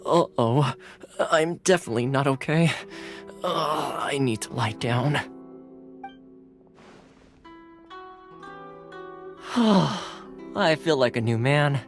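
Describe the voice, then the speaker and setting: An easygoing young male retainer from a video game, breezy and playful. His voice is light and swings expressively in pitch, with music behind it. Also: lively intonation, slow pace. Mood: queasy. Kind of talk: animated video game voice acting. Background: background music.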